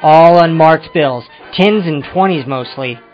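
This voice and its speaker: An evasive, touchy ex-convict, played by a man talks in the manner of old-time crime radio. He is hesitant and defensive, pitched fairly high for a man, with music behind a slightly dull recording. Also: a little slow, close to an everyday voice. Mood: nervous confessing. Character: evasive, touchy male ex-convict confessing to a detective. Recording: muffled amateur radio-drama recording with music.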